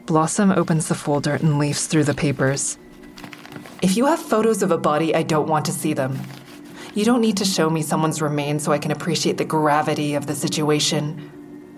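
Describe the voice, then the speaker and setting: Actress as low-voiced, sardonic, defensive woman comedian. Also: close to an everyday voice. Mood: anxious, guarded. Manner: wry, guarded, confessional. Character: defensive, sardonic woman comedian under police questioning, narrating inwardly. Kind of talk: full-cast audio drama acting with first-person narration.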